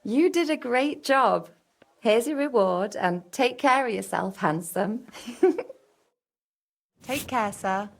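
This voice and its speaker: A coy, teasing household servant from a medieval game. Her voice is fairly high with noticeable creak, and she talks in a cheerful, flirtatious way. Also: animated, slow pace, pauses often, a little hiss or hum, heavily acted. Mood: teasing, warm. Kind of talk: naturalistic game dialogue.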